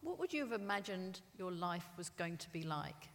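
A woman's voice: on the low side, big pitch swings, normal speed. Lady doing a live interview, echoey hall, bit of noise behind her.

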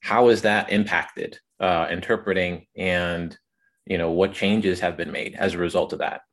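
A man hosting a podcast, asking interview questions in a relaxed, friendly way with fillers. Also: sits low, normal speed. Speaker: podcast host.

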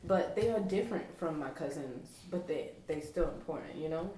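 A woman's voice: low voice, expressive, normal speed, dark tone. A woman in her late twenties from Brooklyn, chatting casually in a group, laughing often and using lots of fillers. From Brooklyn, New York. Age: late 20s. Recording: roomy, distant mic, faint noise.

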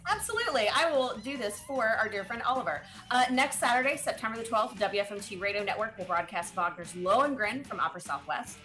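A woman's voice: a little high, lively intonation, quick pace, few pauses, over music. A woman co-hosting an opera talk show, chatting in a playful, bubbly way. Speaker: co-host. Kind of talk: casual chat.

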